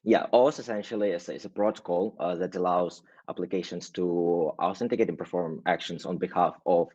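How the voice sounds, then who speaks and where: Low husky-voiced man from Russia, a patient guest who explains step by step over a dull, dry line. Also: steady tone, a little fast, a little mellow, no echo. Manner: hedging, frequent "right?" tags and restarts. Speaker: guest, automation agency founder. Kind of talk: casual explanatory interview talk.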